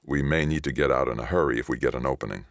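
Man as a deep-voiced, calm veteran soldier. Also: dry recording. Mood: quiet, decisive. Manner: quiet, measured, decisive. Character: calm, resolute male veteran soldier, quietly commanding. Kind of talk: audiobook character dialogue.

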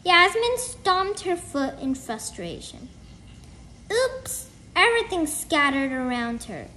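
A woman's voice: sits high, big pitch swings, takes it slowly, bright, forceful tone, slight background noise, rough recording. A woman reading a children's storybook aloud in a careful, word-by-word way. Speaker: storybook reader. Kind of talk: children's book read-aloud.

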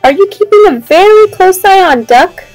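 A woman's voice: very high voice, animated, moderate pace, a little mellow, no room sound, soft background music, pitched above the performer's own voice. A woman voicing a bossy, suspicious male farmer in a children's storybook, with an insistent, ordering manner. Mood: questioning.

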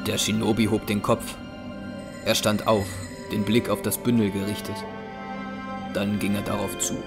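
A man's voice: fairly low pitch, little pitch movement, moderate pace. A man narrating an audio drama in a scripted, dramatic storytelling style. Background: music.

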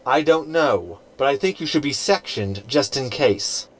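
An actor playing a sardonic, unimpressed holographic crewmate in a science fiction audio sitcom. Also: male voice, medium pitch, animated, unhurried, lightly acted, poor audio. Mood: snide. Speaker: actor in sci-fi comedy audio drama.

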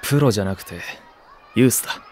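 An actor playing a cool, supportive nursery teacher and football coach, terse and matter-of-fact, in an anime-style audio drama. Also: male voice, lowish voice, lively intonation, fast talker, slight background noise, close to an everyday voice. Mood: cool, encouraging.

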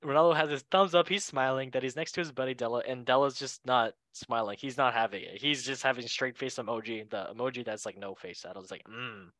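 Bright-voiced male football show host. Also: mid-range voice, brisk, few pauses, dry recording. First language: not English. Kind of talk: casual sports chat show commentary.

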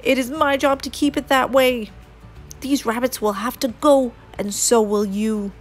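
A woman's voice: fairly high pitch, fairly even intonation, measured pace, soft background music, close to an everyday voice. A woman voicing a blustering, stern male small-town mayor in a children's storybook, speaking with declamatory emphasis. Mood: indignant. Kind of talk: children's storybook character reading.

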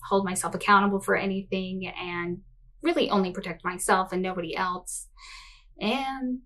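A woman's voice: mid-range voice, a bit bright, a little hiss or hum. Sarcastic, blunt woman. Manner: sarcastic, blunt, sweary, mocking. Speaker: host of a reaction commentary show. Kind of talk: casual, sarcastic reaction commentary. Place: small home office or craft room.

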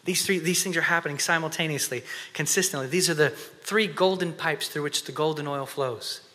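A man in his thirties preaching on a church stage, swinging between loud emphasis and hushed, whispered prayer. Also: fairly high pitch, fairly quick. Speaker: conference speaker. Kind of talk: sermon. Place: church stage before an audience. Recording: long reverb of a church hall.

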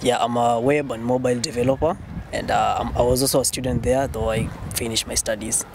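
Young Kenyan developer, hesitant and earnest, heavy background noise. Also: male voice, medium pitch, steady tone, fairly quick. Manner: hesitant, many restarts, earnest. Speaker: web and mobile developer. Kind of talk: interview answers. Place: outdoors on a sunny campus. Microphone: interviewer's handheld recorder.

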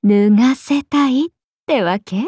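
High, playful, teasing goddess. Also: female voice, unhurried, dark tone, husky, no echo, studio quality. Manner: casual, teasing, airy drawl. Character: playful, teasing, carefree oni goddess in woman's form. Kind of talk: Japanese anime game voice acting.

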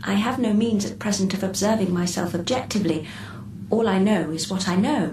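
An actress playing a poised, precise artificial intelligence with a sly streak, speaking formally and exactly in a radio drama. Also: female voice, medium pitch, dark tone, close to an everyday voice. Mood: precise, detached. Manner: formal, measured, exact phrasing. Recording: roomy, slightly distant, clear background noise.

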